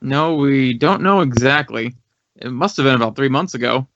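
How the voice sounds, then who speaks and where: An American actor playing an earnest, courteous and talkative director of a drama school in an old radio drama. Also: male voice, medium pitch, expressive, normal speed, fairly bright tone. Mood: puzzled, chatty. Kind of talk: 1950s radio acting. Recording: dull, dry old radio recording.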